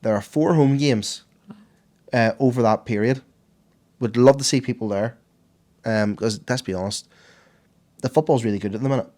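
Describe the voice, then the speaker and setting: A hoarse man with a Northern Irish accent who co-hosts a podcast and gives the sport round-up with animated, excitable enthusiasm. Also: mid-range voice, moderate pace, pauses often. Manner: enthusiastic, animated, laughs easily. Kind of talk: casual podcast chat.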